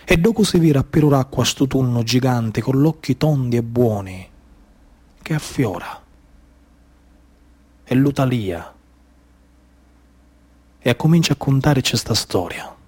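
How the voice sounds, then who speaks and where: A man's voice: medium pitch, lively intonation, measured pace, pauses often, a little mellow, slightly rough. Sicilian man, theatrical storytelling. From Sicily, Italy. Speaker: actor and storyteller. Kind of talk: theatrical narrative monologue in dialect.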